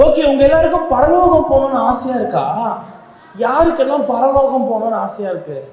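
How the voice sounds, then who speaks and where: A man hosting a lively children's puppet show, energetically calling out to the children and getting them to shout back. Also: very high-pitched, a little hiss or hum. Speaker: children's ministry puppeteer and host. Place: large hall with a live audience of children. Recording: echoey hall with long reverb.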